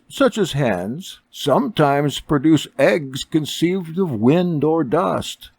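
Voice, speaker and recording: A man narrating an audiobook of an ancient religious text in a formal, deliberate, expressive way. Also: medium pitch, dry recording. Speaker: audiobook reader.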